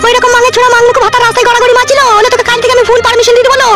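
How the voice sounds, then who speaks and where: A bossy, scolding village housewife from a cartoon comedy. Her pitch is extremely high and she harangues at breakneck speed. Loud music runs behind every word. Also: monotone, racing. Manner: haranguing, foul-mouthed, forceful.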